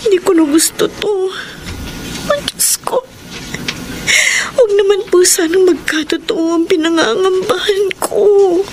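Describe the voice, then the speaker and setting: Over clearly noisy ambience, a high female voice plays an anxious, abandoned young Filipina. She speaks in fretful radio melodrama style. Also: unhurried. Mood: worried, fearful. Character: anxious abandoned pregnant young woman awaiting her lover.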